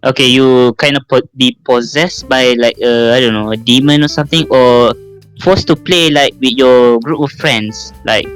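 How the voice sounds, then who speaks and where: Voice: medium pitch, moderate pace, smooth, poor audio. A podcast host casually posing questions to friends in an informal group chat. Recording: close dry voice over guitar music. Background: guitar music.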